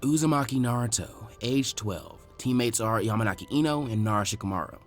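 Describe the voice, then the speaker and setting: A man plays a calm young male ninja in a read-aloud story. He speaks low and smoothly, placating with a smug, condescending undertone. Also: fairly quick, soft background music, lightly acted. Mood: matter-of-fact.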